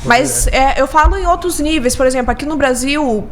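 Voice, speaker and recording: A woman's voice: high-pitched, normal speed, a little hiss or hum. A Brazilian woman speaking casually as an interview guest, emphatic and repeating key words for stress. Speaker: podcast guest, business consultant.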